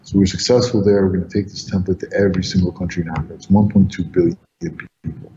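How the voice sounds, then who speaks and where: Low, mellow-voiced guy, egypt-born, new york raised, talks fast and eager, roomy dull sound with a little hiss. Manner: energetic, rambling, repeats words. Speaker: crypto company founder. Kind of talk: enthusiastic interview answers. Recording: roomy, echoey, dull audio with faint noise.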